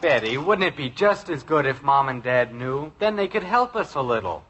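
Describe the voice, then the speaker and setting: A man's voice: mid-range voice, lively intonation, normal speed, a bit bright, natural voice. A male actor playing a reluctant, complaining teenage brother in a 1950s radio sitcom, blunt, on a very noisy old recording. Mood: argumentative. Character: grumbling, reluctant teenage boy, younger brother.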